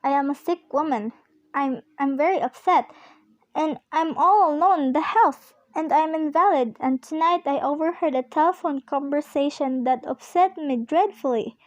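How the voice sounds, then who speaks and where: A woman's voice: high voice, normal speed, no echo, highs cut off, natural voice. A young woman in an amateur radio play acting a nervous, demanding invalid wife, agitated and stammering. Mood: upset, anxious. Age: young adult.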